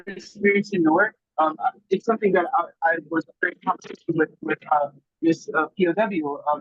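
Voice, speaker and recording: A man's voice: high voice, creaky, fairly bright tone, breathy edge, no echo, highs cut off. A man who grew up in Germany, a guest food grower, talking earnestly in a casual podcast chat and searching for his words.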